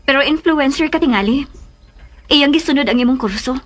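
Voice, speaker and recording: A woman's voice: sits high, brisk, close to an everyday voice. An actress with a Cebuano Filipino accent playing a guarded young woman in a radio drama, dramatic and questioning. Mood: probing. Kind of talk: Philippine radio drama acting. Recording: radio drama with faint background noise.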